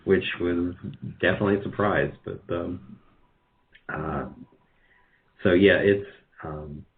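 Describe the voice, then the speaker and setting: A man giving casual interview answers in a halting, self-deprecating way over a phone-like connection. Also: sits low, fairly even intonation. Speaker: guest, radio drama producer. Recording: tinny phone-like line.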